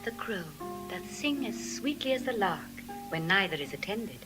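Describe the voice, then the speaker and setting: Woman acting witty noble lady, classical verse drama, articulate, theatrical, animated intonation. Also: medium pitch, normal speed, music in the background, lightly acted. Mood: musing. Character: Portia, witty gracious noble lady of the house. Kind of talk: classical Shakespearean stage acting.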